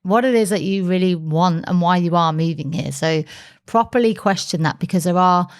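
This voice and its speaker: A woman co-hosting a podcast in a relaxed, chatty way, her voice a touch lower than most women's. She laughs easily and pads her talk with "um" and "you know". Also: normal speed. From England. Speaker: podcast co-host. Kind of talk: casual podcast chat.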